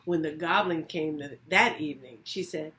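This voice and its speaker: A woman narrating a children's story as a reading teacher, deliberate and theatrical in her phrasing. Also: sits low, a bit bright, a little hiss or hum. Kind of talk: expressive children's read-aloud narration.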